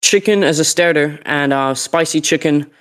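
A man's voice: a little high, moderate pace, fairly bright tone, smooth. A young man with a cold, telling a story in a casual podcast chat, rambling with many fillers and restarts. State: sick, sweating. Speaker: podcast co-host.

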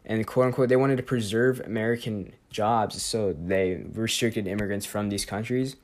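A man's voice: lowish voice, normal speed. A teenage boy hosting a student podcast, talking through a history topic in a loose, rambling way, full of fillers and self-corrections. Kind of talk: casual spoken presentation and interview.

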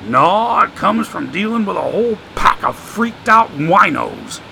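A man doing a high, wisecracking homeless man. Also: animated, measured pace, background noise, full character voice. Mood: teasing. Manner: drawling, sarcastic, rough grammar.

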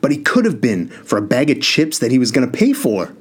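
A man's voice: mid-range voice, sing-song, slow, slightly rough, pitched above the performer's own voice, full character voice. A man voicing an angry young man who is outraged on behalf of his younger brother, speaking in heated, rising outbursts. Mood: furious. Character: furious young man, protective older brother.

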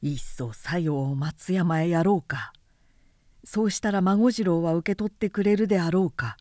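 A woman voicing a proud, brooding young samurai elder brother, speaking gravely and resolutely in old-fashioned style, in a story reading. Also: fairly low pitch, moderate pace, dark tone, no room sound, clean studio sound, lightly acted.

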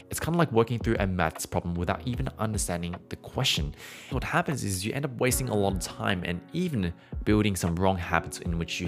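Man, voice teacher, deep low voice, casual explaining, hums and sung vowels as examples, faint music behind. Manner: conversational, demonstrates with hums and held vowels. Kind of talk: explanatory singing lesson, podcast.

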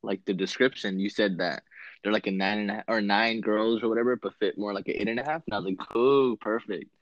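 A man's voice: on the low side, fairly even intonation, fairly quick, no room sound. A twenty-one-year-old man chatting casually on a call, easygoing and a bit hesitant, with lots of "like" and "um". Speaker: guest, shoe buyer. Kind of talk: casual chat. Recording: dry, dull lo-fi call audio.

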